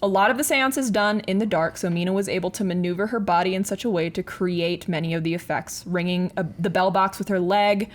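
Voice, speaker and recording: A woman's voice: on the low side, brisk, few pauses. Casual female podcast storyteller. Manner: informal, peppered with slang. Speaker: podcast host telling a story.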